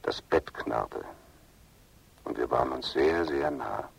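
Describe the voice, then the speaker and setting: Wistful older lover, very deep husky voice, intimate, florid, swooping intonation, radio drama. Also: male voice, huge pitch swings, slow, recorded from a distance, heavily acted, low-quality recording. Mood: seductive, longing. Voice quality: gravelly, husky, breathy at times. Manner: intimate, florid, lingering phrasing. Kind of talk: radio drama interior monologue.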